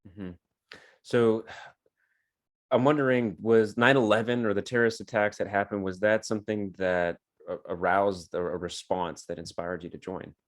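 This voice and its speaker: A man's voice: on the low side, steady tone, normal speed. A man hosting a podcast, asking guests thoughtful, probing questions in a casual way. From California. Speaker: podcast host.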